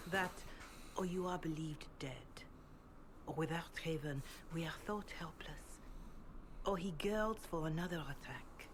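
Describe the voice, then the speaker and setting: Solemn, low-voiced religious Mother. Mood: grave, hushed. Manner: measured, solemn, formal. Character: calm, devout middle-aged religious Mother counselling softly. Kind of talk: video game dialogue acting. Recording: game audio with clear background noise.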